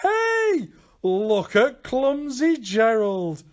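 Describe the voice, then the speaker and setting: A man's voice: very high-pitched, huge pitch swings, drawn-out words, voice pushed up, heavily acted. A man voicing mocking storybook lions, roaring and taunting like bullies.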